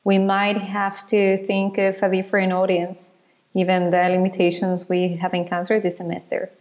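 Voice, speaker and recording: A woman's voice: mid-range voice, completely flat delivery, normal speed, darkish tone. A woman from Ecuador, a university lecturer speaking conversationally as a podcast guest. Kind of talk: conversational interview talk.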